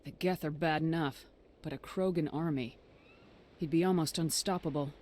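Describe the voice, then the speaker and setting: A woman's voice: low-pitched, steady tone, moderate pace, darkish tone. A woman voicing a composed, assured military commander in a video game, direct and purposeful.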